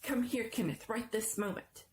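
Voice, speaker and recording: A woman voicing a cold, calm, scolding female schoolteacher in a dramatic story reading. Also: fairly high pitch, moderate pace, a little hiss or hum, natural voice. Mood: stern.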